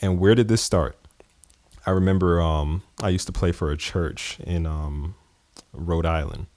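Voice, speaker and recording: A man's voice: sits low, fairly even intonation, unhurried, darkish tone, no echo. A man from the East Coast of the United States hosting his podcast, talking in a loose, conversational way with fillers and chuckles. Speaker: podcast host. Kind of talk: casual podcast monologue.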